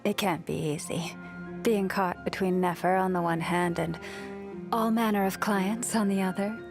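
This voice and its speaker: An actress voicing a gentle, devout young moon priestess in a video game, speaking softly and reflectively with a breathy fall at the ends of phrases. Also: female voice, mid-range voice, steady tone, normal speed, over music, natural voice. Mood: warm, thoughtful. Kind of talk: scripted video game character voice lines.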